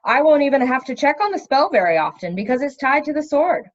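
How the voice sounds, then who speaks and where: A woman's voice: high voice, very animated, lo-fi sound. A woman voicing an earnest, awkward young forest king with a hesitant manner in a storybook reading. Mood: explaining. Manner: hesitant, halting.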